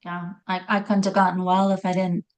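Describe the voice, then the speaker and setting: Middle-aged woman, raspy, narrow flat intonation, slightly low pitch, conversational personal storytelling, halting self-corrections. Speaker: guest telling health story.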